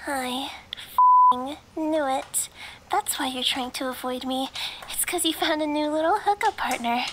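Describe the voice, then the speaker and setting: A woman's voice: high voice, unhurried, heavy background noise. A woman playing a possessive, jealous young girlfriend in an ASMR roleplay, sweetly menacing and teasing, slipping into whispers. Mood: jealous, accusing. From Canada.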